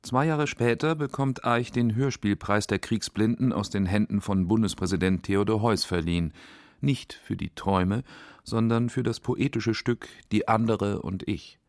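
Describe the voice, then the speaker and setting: A man's voice: lowish voice, moderate pace, no echo, clean studio sound. A man reading scripted narration for a radio documentary. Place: dry studio.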